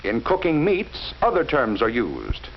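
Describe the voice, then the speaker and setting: A man narrating a 1940s educational film in a measured, instructive way, speaking directly to the viewer. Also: mid-range voice, wildly swooping pitch. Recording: dull lo-fi 1940s film soundtrack with faint hiss.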